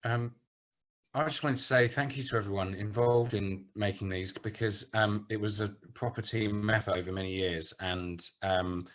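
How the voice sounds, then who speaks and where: A man's voice: on the low side, fairly even intonation, moderate pace, fairly bright tone. A man giving informal closing remarks as a producer on an online panel. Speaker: audio play project producer.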